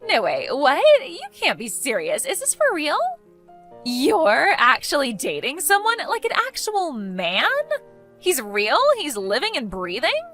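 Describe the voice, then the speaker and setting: Woman acting a high-pitched, catty, melodramatic sister-in-law. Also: wildly swooping pitch, normal speed, fairly bright tone, faint music behind, heavily acted. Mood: shocked disbelief. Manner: exaggerated, condescending, incredulous. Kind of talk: dramatized text-message story voice acting.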